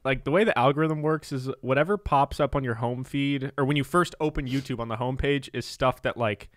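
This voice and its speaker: A man's voice: mid-range voice, a little fast, dry recording. A young man co-hosting a podcast in casual chat, rambling and laughing often, close to a studio mic in a small room. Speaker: podcast co-host. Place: small room with posters, indoors. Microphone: studio mic close up, headphones.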